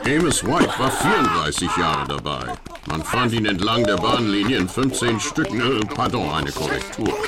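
Low-voiced, mock-dramatic male radio narrator. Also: big pitch swings, normal speed. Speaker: narrator and announcer. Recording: loud music under the voice.

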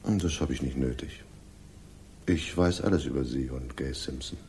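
An actor playing a self-assured, pious police inspector in a radio crime drama, measured and condescending in his authority. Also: extremely low pitch, a little mellow, a little hiss or hum. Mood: cool, warning. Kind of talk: 1960s German radio crime drama acting.

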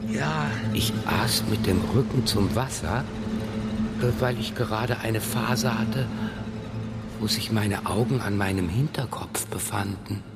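A man's voice: on the low side, a little slow, creaky, loud music behind the voice. An actor playing a whimsical, sleepy middle-aged father who banters hesitantly in a children's radio play. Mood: drowsy, playful.

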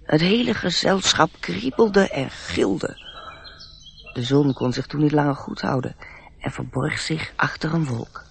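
A man's voice: fairly high pitch, a little slow, gravelly, fairly bright tone, slight background noise. A man narrating a radio play in a scripted, literary storytelling style.

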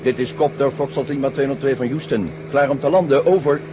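An actor playing a dutiful, anxious helicopter pilot in a 1970 radio drama, deferential and procedural. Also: male voice, mid-range voice, fast, dull audio, lightly acted. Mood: businesslike. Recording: old radio recording, loud engine noise.

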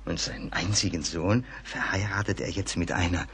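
An actor playing a superstitious, gossipy rural servant in a German radio play, dramatic and exclaiming. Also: male voice, sits low, normal speed, a bit bright, husky, full character voice. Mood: sly, amused.

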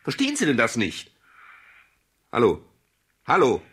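An actor playing a middle-aged private detective in a radio crime drama, wry, dry and expressive in dialogue and narration. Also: male voice, mid-range voice, measured pace, breathy edge, full character voice. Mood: exasperated. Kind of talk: 1970s German radio crime drama acting and first-person narration.

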